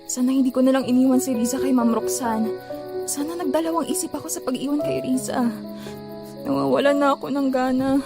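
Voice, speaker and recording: A woman with a Filipino accent playing an anguished, pleading mother in an audio drama, halting with emotion. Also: high voice, steady tone, gravelly, over music. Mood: guilty, despairing. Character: anguished young mother, overseas worker searching for her missing child.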